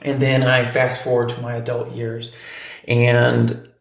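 Man, forties, podcast co-host; gravelly, monotone delivery in a roomy space. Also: medium pitch, measured pace. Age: 40s. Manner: relaxed, storytelling, frequent ums. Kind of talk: casual podcast chat.

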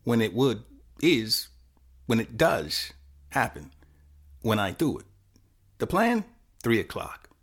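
A man playing a confused, fatalistic teenage boy who narrates his own short story in halting, self-correcting fragments. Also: mid-range voice, very animated, breathy edge, exaggerated voice. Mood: nervy, muddled. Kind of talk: first-person dramatic short-story performance.

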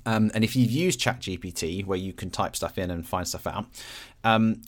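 A man's voice: low voice, normal speed. A man hosting a podcast, talking casually and explaining things in simple, everyday terms. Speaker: podcast host.

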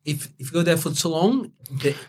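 Laid-back young Brazilian-born man, fairly high voice. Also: animated, a little fast. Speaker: interview guest. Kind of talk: candid storytelling.

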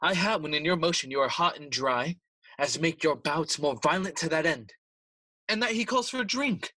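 Sly murderous king, kinda high husky voice, theatrical shakespeare radio play. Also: animated, sharp tone, dry recording. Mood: plotting. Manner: theatrical, persuasive, deliberate. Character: scheming, smooth-talking king plotting murder. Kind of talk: bilingual Shakespearean audio play acting.

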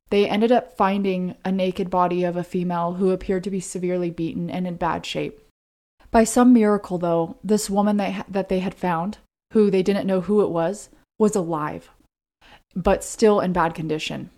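A woman's voice: mid-range voice, fairly even intonation, normal speed, warm tone. A woman co-hosting a podcast, telling a true-crime story in a conversational way. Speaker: podcast co-host.

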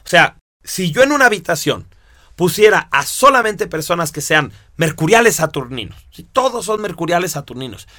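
A man teaching a course, explaining step by step with lively rhetorical questions. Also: sits high, no room sound, clean studio sound. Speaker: instructor. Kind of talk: lecture to students.

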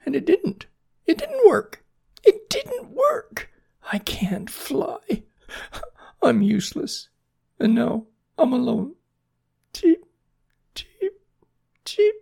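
A storyteller voicing a small male baby chickadee, squeaky and excitable, in a children's story. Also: very slow, creaky, long pauses, full character voice. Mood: despairing, crying. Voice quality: squeaky, peeping. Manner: cheeping, whimpering, excitable.

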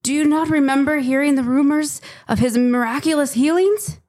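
A woman's voice: sits high, normal speed, darkish tone, close to an everyday voice. A woman playing an earnest, deferential servant to a Roman governor in an audio drama, urgent and hesitant. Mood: urgent, respectful.

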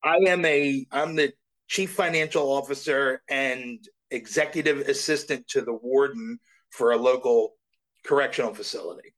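A man's voice: mid-range voice, normal speed, a bit bright, rough recording. Middle-aged male guest, earnest, self-deprecating, mid-range voice. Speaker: voice actor guest. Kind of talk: casual interview chat.

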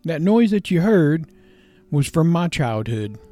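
Folksy higher-voiced man over clear guitar music. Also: very animated, measured pace, dark tone. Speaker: podcast host. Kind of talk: devotional talk with personal childhood stories.

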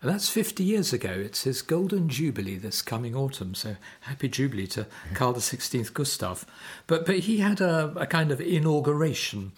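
A middle-aged man from Sweden talks through church history in measured, thoughtful sentences, pausing now and then to find his words. His voice sits at an ordinary pitch for a man. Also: animated. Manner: measured, hesitant with fillers. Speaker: podcast co-host, vicar. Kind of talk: conversational theological discussion.

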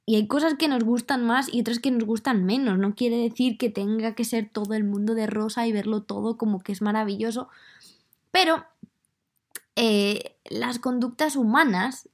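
Female podcast host, Madrid Spanish accent gone slightly soft, medium pitch; informal, chatty solo delivery addressed to the listener. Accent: Madrid Spanish, slightly softened. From Madrid, Spain. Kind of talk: casual solo podcast monologue.

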